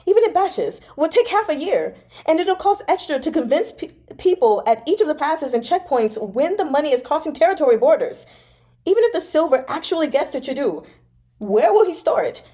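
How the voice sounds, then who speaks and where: Female reader as seething nobleman in a novel, high and emphatic, grim exasperation. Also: warm tone. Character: seething, cornered clan man, bitter and grimly ranting. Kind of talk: dramatic audiobook-like novel read-aloud.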